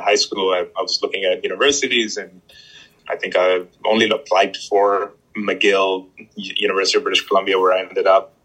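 A man's voice: deep voice, a bit bright, rough recording. A man in his thirties from Pakistan, a guest talking casually with frequent restarts and fillers. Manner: halting, many restarts and fillers. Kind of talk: casual interview chat.

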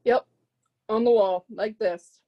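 A woman's voice: mid-range voice, steady tone, slow, dull audio, lightly acted. An actress playing a blunt, world-weary woman in a play reading, with a dry, clipped, colloquial delivery. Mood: deadpan.

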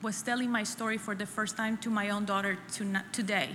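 A middle-aged woman from El Salvador, sharing her personal testimony at a church women's conference in an earnest, halting way, often repeating phrases. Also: medium pitch, steady tone, fairly bright tone. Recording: echoey hall with faint room noise.

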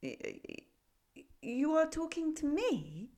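A woman's voice: high voice, huge pitch swings, slow, dark tone, pitched above the performer's own voice, heavily acted. A woman voicing a timid, lonely frog that stammers, in a read-aloud storybook. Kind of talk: storybook reading.

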